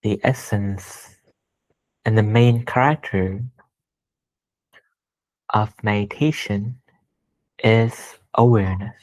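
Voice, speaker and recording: Very slow-paced man guiding meditation. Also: fairly low pitch, fairly even intonation, low-quality recording. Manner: gentle, deliberate, pausing between short phrases. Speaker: meditation teacher. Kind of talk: guided meditation instruction.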